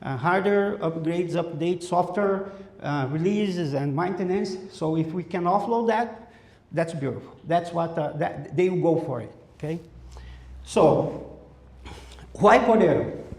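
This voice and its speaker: A man from Brazil with a Brazilian accent, giving a conference presentation in a halting way with many fillers and restarts. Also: fairly high pitch, lively intonation, darkish tone. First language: Portuguese. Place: conference room. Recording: echoey room.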